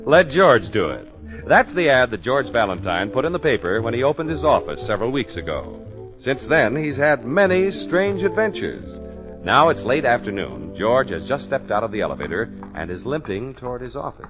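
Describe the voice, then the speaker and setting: Man as animated 1940s radio announcer over music. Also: mid-range voice, moderate pace, close to an everyday voice. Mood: jaunty. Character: polished, jaunty male radio announcer-narrator. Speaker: announcer and narrator. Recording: dull vintage radio recording with music.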